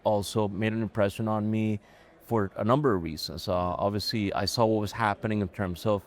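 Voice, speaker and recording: A man in his forties from Colombia speaks in a fairly low voice, answering questions thoughtfully and at a steady pace. He often stops to restart a phrase and fills gaps with a soft "uh". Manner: measured, thoughtful, with frequent "uh" and restarts. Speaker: company chief executive, podcast guest. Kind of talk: conversational interview answers. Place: live event recording on location.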